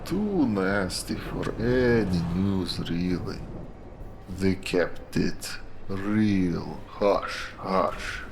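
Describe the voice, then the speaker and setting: An actor playing a grim, insinuating police detective in a noir audio drama, with a deliberate, sly, theatrical delivery. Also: male voice, low voice, animated, gravelly, noisy background, full character voice. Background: music.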